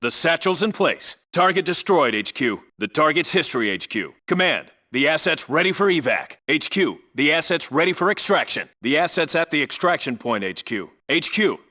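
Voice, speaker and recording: A man's voice: on the high side, big pitch swings, normal speed, hardly any pauses, bright, forceful tone, exaggerated voice. A man voicing a tough, disciplined special forces squad leader in a military video game, clipped and authoritative. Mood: crisp, businesslike. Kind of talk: military video game voice lines, clipped radio reports and orders.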